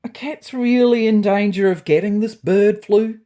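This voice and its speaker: A woman's voice: mid-range voice, unhurried, warm tone, pitched above the performer's own voice, lightly acted. A woman voicing a sarcastic, indignant male cat in an animal story. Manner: sardonic, incredulous.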